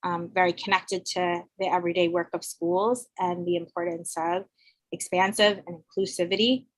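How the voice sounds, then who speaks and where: A woman's voice: on the low side, little pitch movement, moderate pace, no room sound, low-quality recording. A woman from Bangladesh who works in schools, talking reflectively in a casual panel chat on a video call, often restarting her sentences. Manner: reflective, searching, many restarts and fillers. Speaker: school educator, co-host.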